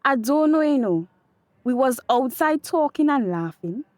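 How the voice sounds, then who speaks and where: Give this a high, dry-recorded voice: a young Tobagonian daughter in a radio play. She is grieving and worn out by quarreling men, with an emotional, exasperated island dialect. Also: expressive, measured pace, a little mellow, no room sound. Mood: shaken. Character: grieving, fed-up grown daughter caught between quarreling men. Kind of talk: radio drama acting.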